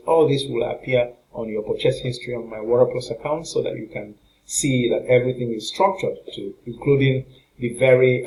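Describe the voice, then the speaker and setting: A man with a mid-pitched voice hosts a promotional review for his viewers. He talks off the cuff in a salesy, repetitive manner, often hesitating as he makes his pitch. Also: darkish tone, low-quality recording. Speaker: online marketing product reviewer and channel host.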